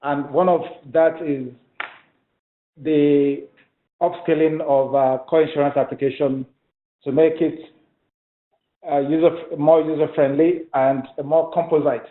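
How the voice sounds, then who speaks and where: A man hosting a company conference call as an executive, answering in a formal, courteous, halting manner. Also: on the high side, fairly even intonation. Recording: roomy, tinny speakerphone line.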